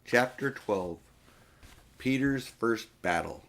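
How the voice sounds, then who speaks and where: A man's voice: mid-range voice, expressive, slow pace, fairly bright tone. An older man reading a storybook aloud to children as an audiobook narrator, now and then stumbling over a word.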